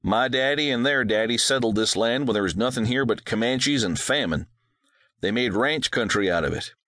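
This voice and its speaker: A man voicing a gentle rancher dad. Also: mid-range voice, normal speed, no room sound, clean studio sound, lightly acted. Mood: patient, gentle. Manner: soft, patient, reassuring. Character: gentle, devout widowed rancher father.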